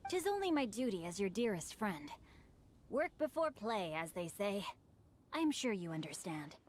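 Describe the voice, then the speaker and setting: A woman's voice: medium pitch, big pitch swings, moderate pace, a little mellow, slight background noise, lightly acted. A woman voicing a polite, slyly composed young scholar boy in a video game, speaking in a formal, eloquent way. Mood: sly, smooth. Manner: formal, eloquent, proverb-quoting. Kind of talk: anime-style video game acting.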